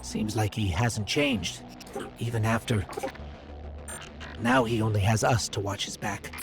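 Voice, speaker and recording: A man's voice: lowish voice, a little slow, over music. A man voicing an anxious, chatty robot companion in a sci-fi video game, earnest and wry. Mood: wry, concerned.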